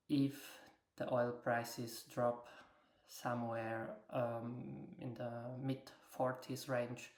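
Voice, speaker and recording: An echoey recording of a woman talking through financial slides in English. She speaks slowly and haltingly, often stopping on filled pauses, and her intonation stays very flat. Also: extremely low pitch. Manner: non-native English with grammatical slips. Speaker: investor presenting earnings takeaways. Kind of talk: unscripted slide presentation commentary. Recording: echoey room sound.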